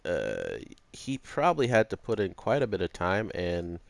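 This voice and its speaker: Man, deep voice, dry close sound, casual anime reviewer, meandering and slangy. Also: wildly swooping pitch, moderate pace, vocal fry, dry recording. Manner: hesitant, meandering, informal with slang. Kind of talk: rambling unscripted review commentary.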